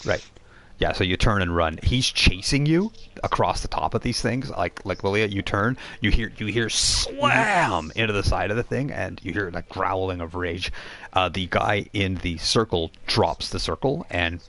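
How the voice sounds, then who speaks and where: A man working as game master, improvising descriptive narration for a tabletop role-playing game in a halting way. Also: low voice, expressive, sharp tone.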